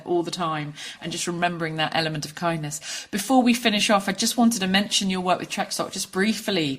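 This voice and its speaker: A woman with a notably low-pitched voice. She hosts an interview show, talking in an informal, conversational way. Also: fairly bright tone. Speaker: podcast and radio host.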